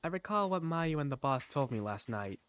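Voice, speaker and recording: Woman narrating a story, animated pitch. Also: normal speed, clean tone, dry recording. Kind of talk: scripted first-person story narration.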